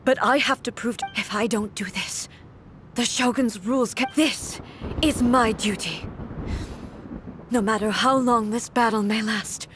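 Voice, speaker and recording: A woman playing a solemn, stoic female ruler in a video game, speaking in a formal, grave and measured way. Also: on the high side, background noise, close to an everyday voice. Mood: solemn, resolute. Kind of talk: dramatic video game voice acting.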